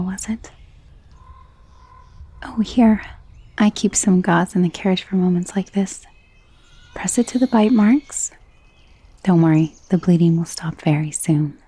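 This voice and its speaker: Woman playing a soft, breathy, coaxing vampire mistress. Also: on the low side, takes it slowly, pauses often, full character voice. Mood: reassuring. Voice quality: soft, breathy, often dropping to a whisper. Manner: soothing, genteel, doting. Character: gentle, coaxing female vampire mistress of a mansion. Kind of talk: ASMR roleplay, intimate soft-spoken acting.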